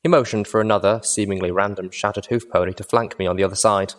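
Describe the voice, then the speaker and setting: A man's voice: lowish voice, steady tone, fast. A man reading first-person dramatic fiction as an audiobook narrator.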